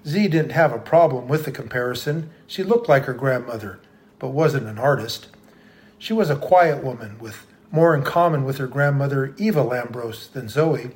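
A man reading a story's narration in an even, steady way. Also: mid-range voice.

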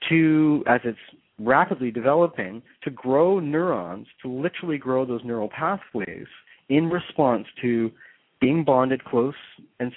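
A man hosting a call-in radio show, explaining psychology in a halting, restarting way. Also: mid-range voice, slightly rough, no room sound. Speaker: radio talk show host. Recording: tinny phone-line sound.

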